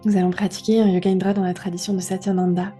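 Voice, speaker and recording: Mellow, even-toned woman guiding meditation. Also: fairly low pitch, quick pace, faint music behind. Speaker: yoga nidra guide. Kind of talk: guided relaxation meditation.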